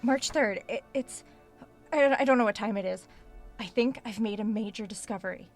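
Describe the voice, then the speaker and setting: An actress playing an excitable, curious woman exploring a creepy basement in a horror audio drama, expressive, as if talking to herself. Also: mid-range voice, moderate pace, soft background music, lightly acted. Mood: excited, narrating discovery. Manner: expressive, muttering to herself and exclaiming.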